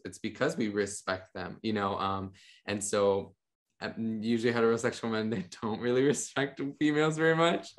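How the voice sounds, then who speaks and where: A young man on a video call, a podcast guest talking about his ministry in a relaxed, rambling way with many fillers. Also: lowish voice. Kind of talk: casual interview talk. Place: home room with monitors. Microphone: studio mic on a video call.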